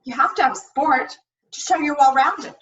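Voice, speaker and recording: A woman's voice: high-pitched, normal speed, a bit bright, recorded from a distance, highs cut off, pitched above the performer's own voice. Woman playing a tense, prickly teenage overachiever. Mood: stressed. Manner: clipped, guarded, defensive.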